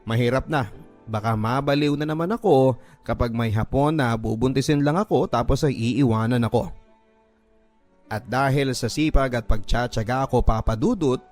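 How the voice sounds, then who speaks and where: A man's voice: mid-range voice, a little mellow. A man with a Filipino accent reads a listener's story as an emotive, scripted first-person radio drama narration. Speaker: radio drama host reading a listener's letter. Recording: music bed behind the voice. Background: music.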